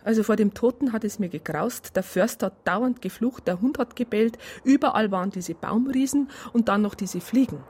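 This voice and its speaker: Southern German woman recounting a case, acted, mid-pitched. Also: moderate pace, few pauses, darkish tone, slightly rough, natural voice. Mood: exasperated recounting. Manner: conversational, detailed, exasperated storytelling. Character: earnest, aggrieved female doctor recounting a police case. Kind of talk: first-person radio drama narration.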